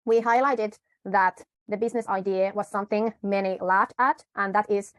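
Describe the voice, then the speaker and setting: A woman coaching viewers through a media pitch in a tutorial, unhurried, pausing and correcting herself often. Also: fairly even intonation, no echo. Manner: unhurried, with frequent pauses and self-corrections. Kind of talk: tutorial talk to camera.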